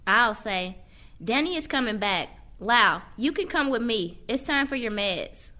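A woman playing a brisk, friendly care-home nurse in an audio play, with clear instructive phrasing. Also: a little high, animated, sharp tone.

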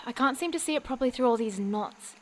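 An actress playing an earnest, excitable, bookish young woman sleuth in an audio drama, speaking precisely and muttering as she puzzles. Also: high-pitched, fairly quick, slight background noise. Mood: puzzled.

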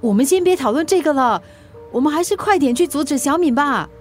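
A woman's voice: sits high, expressive, brisk, faint music behind. A woman with a Singaporean accent playing an earnest, guilt-prone young friend in a radio drama. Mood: grave.

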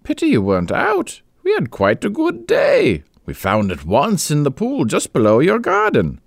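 A man reading a story aloud, voicing a chatty female neighbour in a gushing, high falsetto. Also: wildly swooping pitch, moderate pace, gravelly, no echo, clean studio sound, heavily acted. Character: chatty gossiping woman neighbour, lively.

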